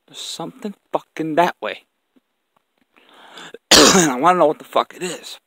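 A man's voice: a little high, moderate pace, no room sound. A man talking casually and bluntly, with slang and swearing, as he films outdoors in the woods. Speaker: camper filming the outing. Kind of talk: casual camping vlog talk, swearing. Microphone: handheld camera he is holding.

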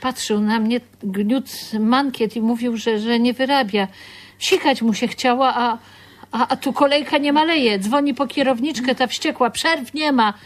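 A woman's voice: on the high side, unhurried, close to an everyday voice. An actress playing a brash, earthy working-class woman in a radio drama, blunt and colloquial. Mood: earnest confiding. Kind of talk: theatrical radio drama acting.

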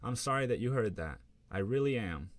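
A man's voice: deep voice, lively intonation, normal speed, no room sound. A man voicing a young woman, the narrator's girlfriend, pleading and defensive in a storytelling reading. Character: young woman girlfriend, gentle but hurt.

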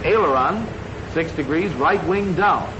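A man's voice: a little high, expressive. Muffled vintage American male training-film narrator. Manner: instructional, measured, clearly enunciated. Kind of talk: scripted 1940s instructional film narration. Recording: muffled, noisy 1940s film soundtrack.

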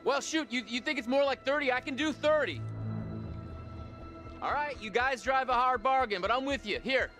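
A flippant young rich guy talks in a high-pitched voice over prominent music. He is cocky and glib, a smart aleck in a video game. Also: normal speed, pushed and bright. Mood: mocking, brash.

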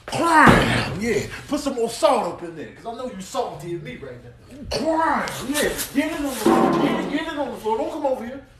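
A man's voice: very high voice, very animated, normal speed. A man voicing a playful, exasperated animal in a comedic voiceover, streetwise and repetitive. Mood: teasing.

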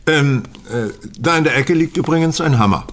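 A man playing a sly, inquisitive gentleman detective in a German radio play, with a wry, probing manner. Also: fairly high pitch, expressive, moderate pace.

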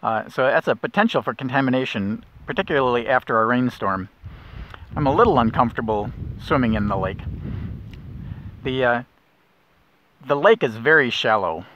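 A middle-aged man guiding a kayak nature trip, explaining plants in a relaxed way with small restarts, recorded outdoors on a lake. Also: mid-range voice, normal speed, pauses often. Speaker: naturalist guide. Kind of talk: outdoor nature walk narration. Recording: dull lo-fi outdoor audio.

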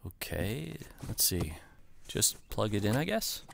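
A man's voice: fairly low pitch, sing-song, creaky, exaggerated voice. An actor playing an easygoing office worker who is delighted with his new smart home device. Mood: cheerful. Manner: casual and cheerful, quick to laugh. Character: easygoing male office worker, upbeat, a new gadget owner. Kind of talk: comic radio play.

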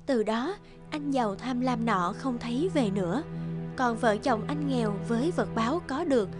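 High-voiced woman narrating a fairy tale. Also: expressive, normal speed. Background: music.

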